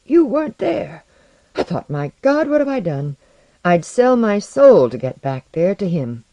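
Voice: mid-range voice, big pitch swings, unhurried, darkish tone, no echo, dull audio. A narrator voicing an earnest, anxious, modest modern man with a hesitant, plain-spoken and emotional manner. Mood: distraught, relieved.

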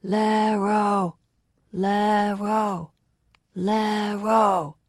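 Woman as fervent chanting townsfolk, raised pitch. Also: flat delivery, no echo, studio quality. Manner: raised, chanting, drawn-out calls. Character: fervent crowd of citizens chanting and calling out.

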